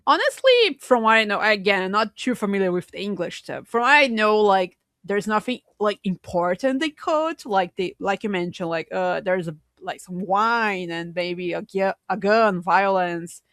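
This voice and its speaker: Woman podcaster, halting delivery, dry close sound. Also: medium pitch, animated, fairly bright tone, dry recording. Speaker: podcast co-host. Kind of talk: casual podcast discussion.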